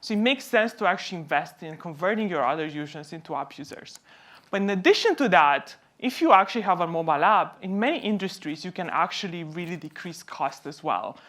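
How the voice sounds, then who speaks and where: Low-pitched woman presenting. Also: lively intonation, fairly quick, fairly bright tone. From Romania. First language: Romanian. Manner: explanatory, posing questions then answering them. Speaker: company co-founder presenting at a conference. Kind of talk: conference presentation.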